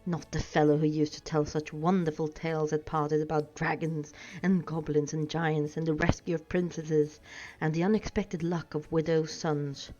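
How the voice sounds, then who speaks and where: Woman acting out fussy little hobbit gentleman, polite, excitable homebody, storybook fantasy, mellow tone, music underneath. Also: sits low, moderate pace, lightly acted. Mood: excited. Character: plain, fussy male hobbit homebody, polite but flustered. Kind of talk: storybook character reading. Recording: dull sound with music behind.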